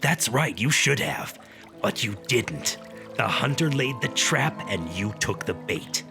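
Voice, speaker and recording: Smug monster-hunter villain for radio drama: taunting, gloating, lively pitch, fry in the voice. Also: male voice, slow pace, over music, exaggerated voice.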